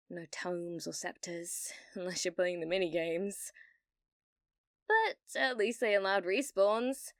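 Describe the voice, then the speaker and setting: A woman's voice: mid-range voice, dry recording, clean studio sound, pitched below the performer's own voice. A British woman voicing a delusional, needy, obsessive young gamer in love, in an ASMR roleplay. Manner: breathy giggles, slow dreamy delivery with sudden intense bursts. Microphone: close studio-style mic, dry.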